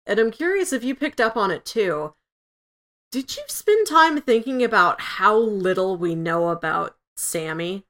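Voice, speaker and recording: A woman's voice: mid-range voice, lively intonation, measured pace, a bit bright. A woman co-hosting a casual review discussion, often acting out imagined quotes as she explains her thoughts. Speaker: podcast co-host reviewing an audio drama.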